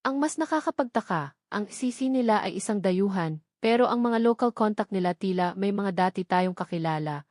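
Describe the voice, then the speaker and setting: A woman's voice: medium pitch, expressive, moderate pace, darkish tone, no room sound, studio quality, close to an everyday voice. A woman with a Filipino accent voicing a worried, determined businessman and devoted husband in an audio drama, serious and firm.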